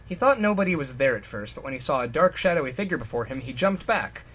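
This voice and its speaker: A man's voice: medium pitch, sing-song, fast talker, a bit bright. A man doing an informal, joking dramatic reading of fan fiction, stumbling over sentences and ad-libbing asides. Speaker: reader on a comedy reading show.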